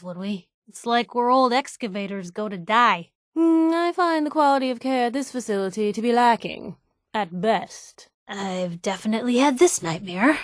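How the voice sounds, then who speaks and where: Game companion role: actress as feisty young female reporter, American accent, mid-range pitch, wry conversational asides, very dry studio sound. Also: dry recording, close to an everyday voice. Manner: sardonic, conversational, quick-witted asides. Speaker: video game voice actor. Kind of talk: video game companion dialogue.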